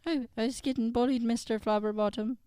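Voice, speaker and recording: A man's voice: extremely high pitch, steady tone, fairly quick, dark tone, smooth, dry recording. A man playing an anxious, polite new schoolboy who stammers apologetically in an improvised radio comedy. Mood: nervous, apologetic. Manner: hesitant, nervous, stammering.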